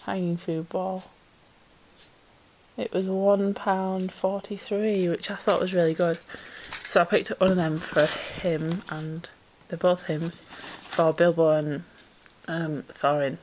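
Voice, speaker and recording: A woman's voice: on the low side, level tone, slow, a little mellow, a little hiss or hum, low-quality recording. A woman chatting casually as she unboxes pet supplies at home, a little congested from a cold and rambling with ums. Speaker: pet vlogger. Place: indoors at home, camera pointed down into a box.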